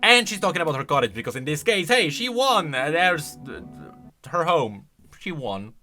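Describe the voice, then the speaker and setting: A man giving casual reaction commentary as a video host, enthusiastic and halting as he thinks aloud. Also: a little high, sing-song, sharp tone, faint music behind.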